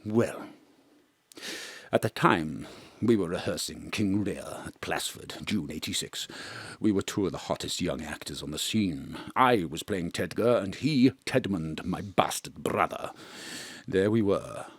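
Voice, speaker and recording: An actor playing a pompous, melodramatic veteran male stage actor who swings between booming declamation and hushed confession, recorded from a home studio. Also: animated, a little slow, breathy edge, no room sound, full character voice. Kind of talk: live remote audio drama acting.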